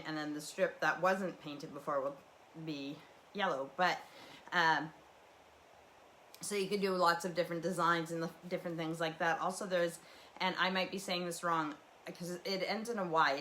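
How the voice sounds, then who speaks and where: A chatty woman with a low voice, rambling casually through her home livestream. She wanders from thought to thought with plenty of fillers and restarts. A faint hum of ambient noise sits behind her webcam mic. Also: fairly even intonation, moderate pace, sharp tone. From Canadian prairies. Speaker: livestream host.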